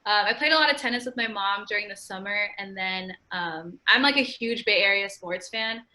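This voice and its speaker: A young woman in her twenties from California talks as a podcast guest, chatty and upbeat, often dropping "like" as she goes. Her voice is bright and clear. The tone is casual and friendly, as in a relaxed conversation. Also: mid-range voice, moderate pace. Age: 20s. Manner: chatty, frequent "like" fillers, upbeat. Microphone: remote call.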